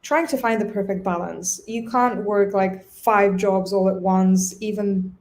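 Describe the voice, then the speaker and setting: A woman's voice: mid-range voice, fairly even intonation, a little slow, darkish tone. A young woman from Bulgaria, a native Bulgarian speaker, chatting in an interview about her design work, thoughtful and restarting often. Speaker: guest designer. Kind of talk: casual interview chat.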